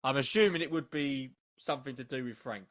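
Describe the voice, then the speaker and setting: A man's voice: mid-range voice, brisk, a bit bright. A man hosting a podcast interview, asking leading questions with enthusiasm. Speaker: podcast host.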